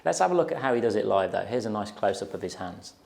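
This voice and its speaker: A young man with a low, gravelly voice walks through a guitar lesson, counting beats and humming riffs as he explains each step. He talks on a lapel mic in a small studio, with clear electric guitar playing between his words. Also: expressive, fast talker. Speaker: guitar teacher. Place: small plain indoor studio.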